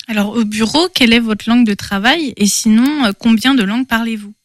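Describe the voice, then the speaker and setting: A woman's voice: a little high, brisk, no room sound, studio quality. A woman interviewing two interns, asking short, plain questions.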